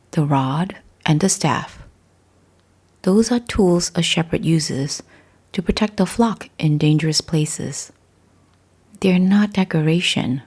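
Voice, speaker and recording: Female meditation guide, soft husky voice, mellow tone, very slow deliberate phrasing. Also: sits low. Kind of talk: scripted guided meditation, gentle spiritual talk.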